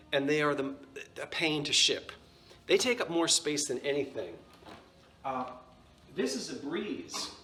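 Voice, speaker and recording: A man's voice: fairly high pitch, bright, forceful tone. A man with a New Jersey accent, casually showing and describing antique dishes in a chatty, self-correcting way. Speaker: antiques seller. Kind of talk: casual show-and-tell vlog.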